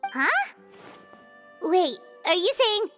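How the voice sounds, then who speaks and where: A woman voicing a tiny, childlike and excitable fairy companion in a video game, exclaiming with naive bluntness. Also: very high-pitched, extremely slow, highs cut off, faint music behind, exaggerated voice. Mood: surprised, curious. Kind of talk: video game character acting.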